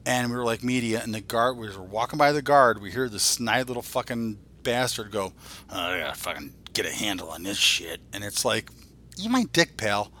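A man's voice: fairly low pitch, animated, normal speed, bright, forceful tone, a little hiss or hum. A man in his forties hosting a podcast, chatting casually about geek culture in a blunt, profane way. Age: 40s. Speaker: podcast host.

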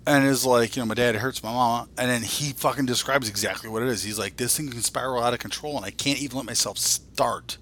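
A man in his forties with a bright, forward voice of average pitch. He is a podcast co-host in casual conversation, recounting things in colloquial, profane language as if among friends. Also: quick pace, hardly any pauses, a little hiss or hum. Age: 40s. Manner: animated storytelling, profane, colloquial. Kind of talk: casual chat about comics and TV.

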